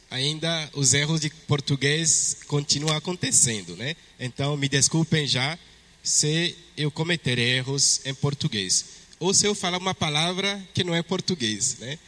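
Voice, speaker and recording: A man from Togo with a French-speaking West African accent, a priest reading the Gospel and preaching at Mass in a measured way. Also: medium pitch, pushed and bright. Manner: slow, measured liturgical delivery. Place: church. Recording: long church reverb.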